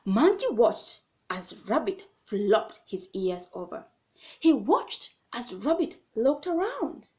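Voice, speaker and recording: Animated, high-voiced woman reading a storybook. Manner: animated, pausing for a child to join in. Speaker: storyteller reading a children's book aloud. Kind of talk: expressive read-aloud storytelling to a child.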